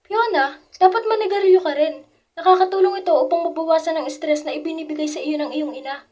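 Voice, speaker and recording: A woman plays a bold teenage schoolgirl with a very high voice. She taunts and coaxes like the ringleader of a clique in an amateur radio drama. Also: quick pace, darkish tone. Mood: coaxing. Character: bold, goading teenage schoolgirl, a bad influence.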